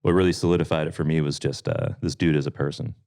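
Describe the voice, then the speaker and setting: A man's voice: extremely low pitch, level tone, quick pace, a little mellow. A man, a band member, chatting casually and joking with bandmates on a podcast. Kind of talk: casual chat.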